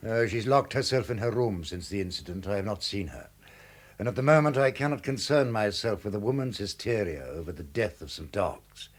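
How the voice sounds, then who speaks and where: An actor playing a ruthless, pompous master criminal in a radio drama, speaking formally and with menace. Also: male voice, fairly low pitch, lively intonation, brisk. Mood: menacing, controlled.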